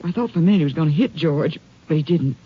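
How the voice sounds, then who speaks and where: An actress playing a frightened ranch wife in a 1950s radio drama, recounting events anxiously. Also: female voice, deep voice, expressive, fast, husky, no echo. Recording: dull lo-fi old radio broadcast.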